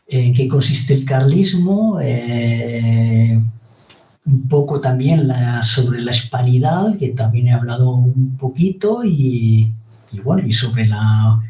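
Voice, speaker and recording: A man from Spain with a Spanish accent speaks very slowly and carefully as a podcast guest, pausing often to find his words. His voice is mellow and dark. He sounds set back from the mic in an echoey room. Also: mid-range voice. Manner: slow, deliberate, hesitant delivery. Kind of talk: explanatory monologue. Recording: roomy, distant from the mic.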